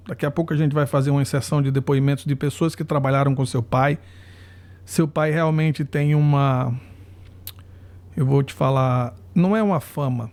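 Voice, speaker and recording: A Brazilian man hosting a podcast interview, talking in an earnest, halting, apologetic way. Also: fairly high pitch, mellow. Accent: Brazilian Portuguese. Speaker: podcast host. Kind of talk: emotional interview.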